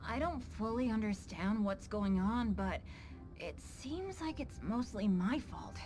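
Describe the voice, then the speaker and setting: A woman's voice: medium pitch, lively intonation, a little slow, a little mellow, lightly acted. A woman voicing an earnest, apologetic teenage boy adventurer in a video game, speaking a little hesitantly. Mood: apologetic, confused. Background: game music.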